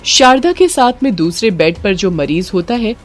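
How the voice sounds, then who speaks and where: A woman's voice: medium pitch, big pitch swings, normal speed. A woman reading a scripted horror story in a dramatic storytelling manner. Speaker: story narrator. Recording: music bed under the voice. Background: background music.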